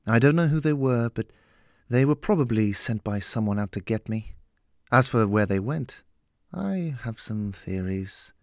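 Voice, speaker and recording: A man voicing an aging gentleman mentor, kindly, courteous and wry, in audiobook dialogue. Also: mid-range voice, lively intonation, measured pace, no room sound, clean studio sound. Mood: wry, composed. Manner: flippant, courteous, composed.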